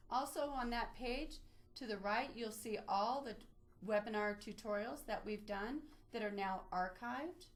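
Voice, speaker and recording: A woman's voice: on the high side, a little slow. A woman presenting an instructional webinar, explaining step by step as she walks listeners through a screen demonstration. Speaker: webinar presenter, library trainer. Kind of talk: instructional screen-share walkthrough, semi-scripted.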